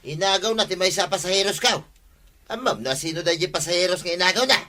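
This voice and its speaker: A man's voice: high-pitched, quick pace, pushed and bright, soft background music. A man playing a bossy, quarrelsome tricycle driver in a radio comedy, with an insistent, complaining manner and an Ilocano accent. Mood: indignant. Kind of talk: Filipino radio comedy drama acting.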